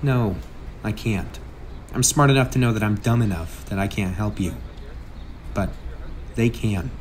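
A man's voice: lowish voice, unhurried, a little hiss or hum, close to an everyday voice. A man playing a brash, sarcastic masked antihero with a kind streak, in a theatrical comic book dub. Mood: sober, gentle.

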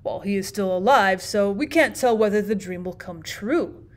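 A woman's voice: mid-range voice, moderate pace, lightly acted. A woman reading the part of an unflappable bachelor storyteller, matter-of-fact and prompt in a storybook. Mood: unconcerned.

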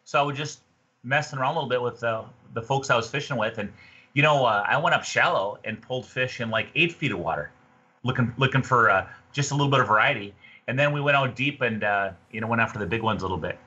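A man in his fifties talks casually and conversationally as an interview guest. His voice is forceful and rough-edged, coming through slightly muffled lo-fi video call audio. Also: mid-range voice, fast. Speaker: podcast guest, fishing tourism representative. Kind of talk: casual fishing report interview. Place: small room indoors, on a video call.

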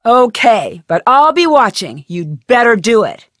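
A woman's voice: a little high, sing-song, unhurried, a bit bright, no room sound, clean studio sound. An actress playing an inquisitive, plucky young female detective in a video game, earnest and questioning. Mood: confrontational, determined. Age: young adult.

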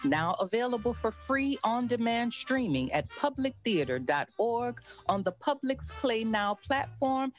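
A woman's voice: fairly high pitch, sing-song, unhurried, hardly any pauses. A woman delivering dramatic scripted narration for an audio play promo. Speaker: trailer narrator. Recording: loud music under the narration.

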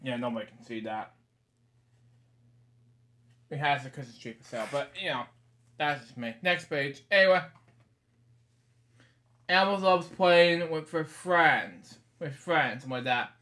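Man, thirties, fairly high voice, very slow pace, halting read-aloud, rambling casual asides, bedroom recording. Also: expressive, sharp tone. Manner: halting, rambling, repeats stock phrases. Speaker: host reading picture books aloud. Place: small bedroom, indoors.

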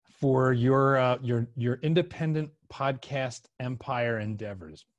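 A man's voice: mid-range voice, unhurried, dry recording, muffled recording. Casual middle-aged man hosting a podcast. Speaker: podcast host. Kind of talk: casual conversational podcast interview. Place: small room with couch, indoors. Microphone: close podcast microphone on boom, headset.

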